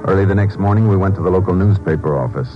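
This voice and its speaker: An actor playing a calm, polite Texas Ranger in a 1950s radio crime drama, matter-of-fact in dialogue and narration. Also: male voice, sits low, racing, close to an everyday voice. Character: calm, steady male Texas Ranger lawman narrating his case. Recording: dull old-time radio recording, music behind.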